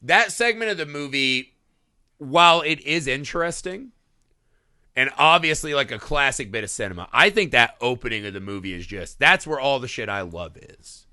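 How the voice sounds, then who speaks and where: A middle-aged man co-hosting a casual film podcast, swearing freely and acting out scenes in quick voices. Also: mid-range voice, no room sound, studio quality. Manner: profane, animated, acts out scenes in voices. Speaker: podcast co-host.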